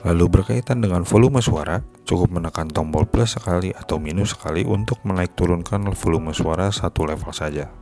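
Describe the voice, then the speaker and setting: A man's voice: sits low, gravelly, darkish tone. A man narrating a scripted gadget review as a product reviewer. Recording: music behind the voice. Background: music.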